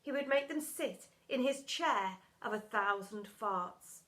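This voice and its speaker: A woman reading a children's storybook aloud as expressive narration, with dramatic, emphatic storytelling. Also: medium pitch, a little slow, very noisy. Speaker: primary school story reader.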